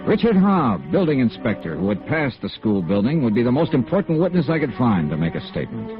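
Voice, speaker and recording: A man's voice: medium pitch, big pitch swings, a little fast, lightly acted. An American actor playing a hard-boiled, persistent insurance investigator in a radio noir drama, clipped and matter-of-fact as he narrates and questions. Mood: dry narration. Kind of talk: 1950s radio noir acting. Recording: dull vintage radio recording with music.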